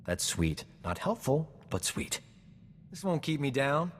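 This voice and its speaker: A man's voice: on the high side, very animated, measured pace. A boastful, charming rogue of a doctor for a game cutscene. He talks in a cocky, self-admiring voice, with long reverb and some noise around it. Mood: scheming. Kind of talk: video game cutscene acting.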